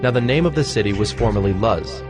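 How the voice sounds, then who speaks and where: A man narrating scripture from a script in a measured, formal manner. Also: on the low side, lively intonation. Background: music.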